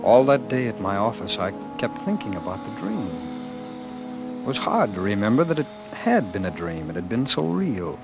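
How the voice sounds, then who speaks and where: An actor playing a meek, dreamy husband who imagines himself a great leader, in earnest, dramatic old-time radio style. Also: male voice, on the low side, very animated, normal speed, gravelly. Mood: brooding, wistful. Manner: earnest, dramatic, brooding, hesitant. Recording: vintage radio broadcast with music behind.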